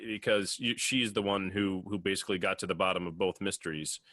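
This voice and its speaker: A man co-hosting a podcast in casual discussion, halting and repeating words as he makes his points. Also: low-pitched, fairly even intonation, crowd noise. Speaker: podcast co-host.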